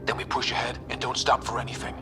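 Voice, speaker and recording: A determined young man leading a space crew as acted for a game. His mid-pitched voice is earnest and decisive, with prominent music behind. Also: monotone, fast, natural voice. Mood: urgent command. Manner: decisive, sincere. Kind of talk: video game cutscene acting.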